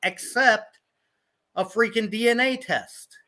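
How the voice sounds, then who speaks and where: A man's voice: extremely high pitch, measured pace, bright, forceful tone. A man from Ohio, a guest on an online panel talk, arguing bluntly and often repeating his points. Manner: blunt, repeats "pure and simple".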